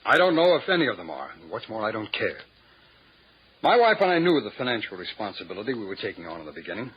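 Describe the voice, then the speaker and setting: A man's voice: fairly low pitch, very animated, fast, slightly rough, heavily acted. An actor playing a defensive, flustered male rest home owner in an old radio drama, on a dull lo-fi broadcast. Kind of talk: 1950s radio drama acting.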